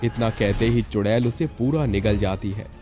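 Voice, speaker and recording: A man's voice: fairly low pitch, normal speed, warm tone. A man narrating a scripted horror story as a storyteller. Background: faint background music.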